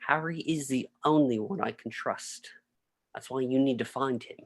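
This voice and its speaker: A man's voice: medium pitch, normal speed, natural voice. A man reading the part of an earnest older tycoon in a wheelchair at a script table read. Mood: solemn, regretful. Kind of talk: video-call table read of film script.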